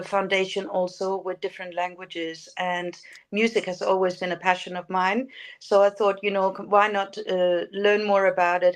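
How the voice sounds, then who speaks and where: Older female interview guest, native Danish speaker, mid-range voice, casual and somewhat hesitant delivery. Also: mid-range voice. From Denmark. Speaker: podcast guest, lifestyle coach.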